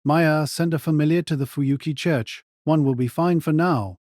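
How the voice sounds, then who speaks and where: A man's voice: a little high, moderate pace, darkish tone, dry recording, clean studio sound, lightly acted. A man playing a cold, calculating mage mercenary in an audio drama, giving terse, controlled orders. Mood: commanding. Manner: terse, clipped, controlled commands.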